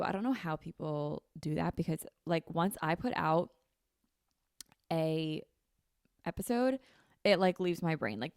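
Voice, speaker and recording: Chatty young woman with gravelly fry. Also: fairly low pitch, no room sound, clean studio sound. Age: 20s. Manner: chatty, rambling, peppered with "like" and "um". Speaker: podcast host. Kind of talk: casual solo podcast chat. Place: home kitchen, indoors. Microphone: handheld dynamic mic held close.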